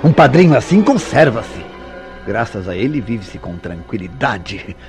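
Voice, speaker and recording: Jealous, deep-voiced radio-drama lover. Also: male voice, big pitch swings, measured pace. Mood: scornful, bitter. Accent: Brazilian. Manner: accusatory, declamatory, formal diction. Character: jealous, tormented male lover interrogating his beloved. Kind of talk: theatrical radio soap opera acting. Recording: radio drama with prominent background music.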